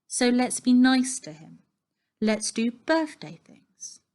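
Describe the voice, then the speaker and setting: A woman's voice: on the high side, sing-song, slow pace, a little mellow, pitched above the performer's own voice. A woman reads as a caring aunt in a children's storybook. She stands up to her husband, imploring him with firm, insistent words. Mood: reproachful, kind. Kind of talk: storybook character reading.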